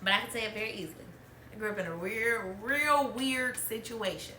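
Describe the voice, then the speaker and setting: Woman in her thirties, bright and chatty, recorded in a roomy space off-mic. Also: a little high, brisk, far from the mic, a little hiss or hum. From Alabama, US. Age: 30s. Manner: chatty, laughs often, trailing restarts. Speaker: podcast host. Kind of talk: candid storytelling chat.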